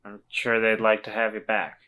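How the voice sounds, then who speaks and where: Casting: male player as a gentle, anxious friend in a tabletop game; bright tone, halting, repetitive delivery. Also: mid-range voice, flat delivery, lightly acted. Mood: worried, reassuring. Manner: halting, stammering, repeats words. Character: Walter, worried, gentle, hesitant friend trying to reassure.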